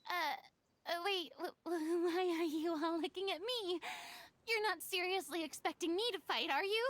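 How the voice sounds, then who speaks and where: A woman's voice: extremely high pitch, moderate pace, fairly bright tone, dry recording. An actress playing a theatrical, boastful and easily flustered young woman in an anime fantasy game, with a grandiose melodramatic delivery. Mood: flustered, pleading. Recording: music behind the voice.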